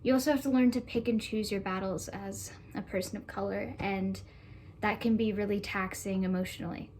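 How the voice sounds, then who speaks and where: A woman's voice: medium pitch, steady tone, a little fast, darkish tone. A seventeen-year-old girl from Canada giving composed, articulate interview answers. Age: teens. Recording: faint background noise.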